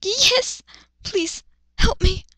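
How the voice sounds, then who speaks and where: A woman's voice: very high-pitched, very slow, sharp tone, dry recording, studio quality, pitched above the performer's own voice, full character voice. A woman voicing an earnest, distressed young anime heroine in a pleading, melodramatic manner for a fan fiction reading. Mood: timid, pleading.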